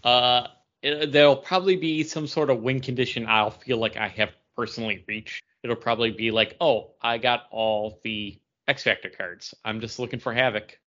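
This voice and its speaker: Bright, forceful man in casual chat. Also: mid-range voice, fairly even intonation, moderate pace, dull audio. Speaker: podcast co-host. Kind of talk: casual chat about games.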